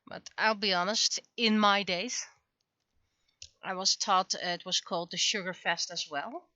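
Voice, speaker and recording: A woman from the Netherlands, chatting casually and haltingly on a livestream as she draws, thinking aloud. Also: medium pitch, steady tone, sharp tone, no room sound. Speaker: livestreaming webcomic artist. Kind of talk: casual livestream chat while drawing.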